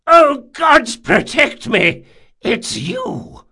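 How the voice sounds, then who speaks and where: A man's voice: sits high, huge pitch swings, slow, pushed and bright, voice pushed up, heavily acted. A man narrating an audiobook, voicing a wizened, wealthy old man who is haughty and formal, bargaining indignantly. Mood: terrified. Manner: haughty, formal, pleading when frightened.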